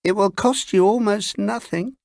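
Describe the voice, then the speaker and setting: A man voicing a thin, eerie old woman in a slow, straining, piping voice for a story reading. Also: high-pitched, vocal fry, darkish tone, dry recording, voice pushed up. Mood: eerie, beckoning. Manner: slow, drawn-out delivery.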